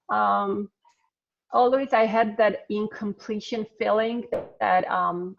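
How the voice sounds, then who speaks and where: Slow-talking Armenian-accented woman, gravelly. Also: mid-range voice. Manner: chatty, frequent ums, laughs often. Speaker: alumna speaker. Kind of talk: casual talk on a video call. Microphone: video call. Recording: slightly dull video-call audio.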